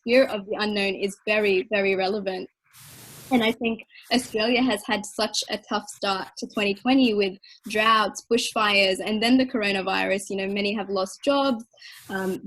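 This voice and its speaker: A woman moderating a panel, speaking in a measured, articulate way. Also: mid-range voice, rough recording. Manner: measured, articulate, even delivery. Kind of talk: reflective panel talk.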